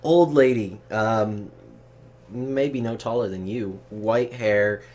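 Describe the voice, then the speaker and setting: A man telling work anecdotes in a casual chat, animated and swearing freely. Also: on the low side, slow pace, slight background noise. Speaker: storyteller on a comedy chat show.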